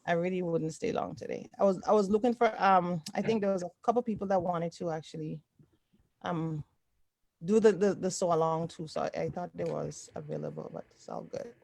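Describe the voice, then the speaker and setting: A woman's voice: fairly low pitch, animated, fairly quick, creaky, a little mellow. A woman from Toronto, Canada, chatting casually as host of an online sewing group, often repeating short affirmations. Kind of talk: casual livestream chat. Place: indoors, on a group video call.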